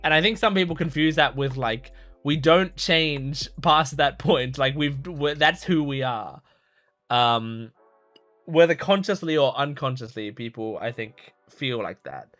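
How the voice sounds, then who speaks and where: A man's voice: mid-range voice, lively intonation, a little slow, fairly bright tone, faint music behind. A man hosting an anime reaction video, talking casually and thoughtfully with lots of "like" fillers and restarts. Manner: rambling, heavy use of "like" fillers and restarts; earnest, thoughtful. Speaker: anime reaction channel host. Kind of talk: casual reflective commentary.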